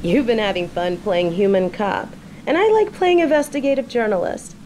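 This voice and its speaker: A woman's voice: medium pitch, lively intonation, moderate pace, a little mellow, roomy sound, slight background noise, close to an everyday voice, poor audio. A woman playing a smug, bored sorceress turned journalist in an audio drama, with a sardonic, condescending way of talking. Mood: playful. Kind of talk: audio roleplay drama acting.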